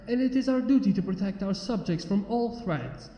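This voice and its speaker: A regal alicorn princess, acted out by a man for a fantasy storybook, speaks with stately archaic formality. The voice is high for a man and mellow, muffled by a reverberant recording. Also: lively intonation, moderate pace. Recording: muffled, echoey long reverb under music.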